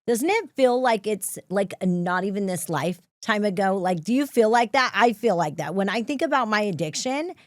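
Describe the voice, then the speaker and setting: A woman in a mid-range voice hosts an interview, quick to affirm her guest with short responses and open about her own past when she relates to him. Her voice sits close to the mic in a dry recording with no room sound. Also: mid-range voice, expressive, dry recording. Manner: supportive, affirming, shares her own experience. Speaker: podcast host. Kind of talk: conversational interview.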